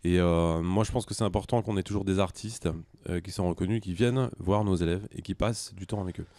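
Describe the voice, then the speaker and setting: A man giving conversational interview answers as a music school director, explaining things in a hesitant, halting way. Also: sits low, fairly even intonation, a little mellow, no echo.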